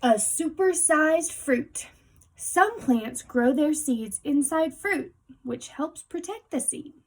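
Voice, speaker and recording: High-pitched, slow-paced woman reading to children. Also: expressive, low-quality recording. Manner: explanatory, addressing young listeners directly. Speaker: read-aloud teacher. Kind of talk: children's picture book read-aloud with asides.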